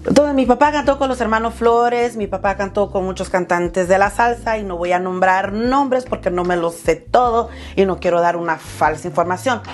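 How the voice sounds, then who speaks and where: Woman, Salvadoran accent, 30s, vlog host, heartfelt personal story, medium pitch, faint background music. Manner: heartfelt, addresses viewers as "chulis". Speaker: channel host.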